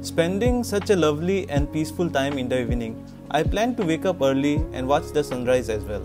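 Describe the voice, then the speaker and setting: A man's voice: on the high side, expressive, a little fast. A man with an Indian accent reading scripted travel narration as a vlogger. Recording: loud music under voice-over. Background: loud music.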